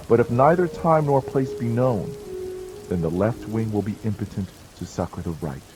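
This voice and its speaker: A man reading a dramatized audiobook as narrator, in a solemn, measured and declamatory manner. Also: low-pitched, poor audio. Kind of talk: dramatized scripted narration of an ancient treatise.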